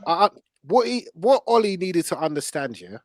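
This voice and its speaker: A man's voice: high-pitched, very animated, moderate pace, no echo, clean studio sound. A man hosting casual football debate, emphatic and slangy, repeating himself for stress. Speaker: football podcast host.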